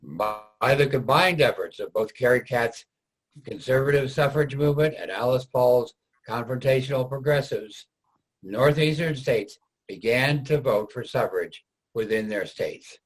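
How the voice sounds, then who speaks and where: A man narrates a scripted reader's theater piece. His voice is medium in pitch and somewhat husky. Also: measured pace, low-quality recording.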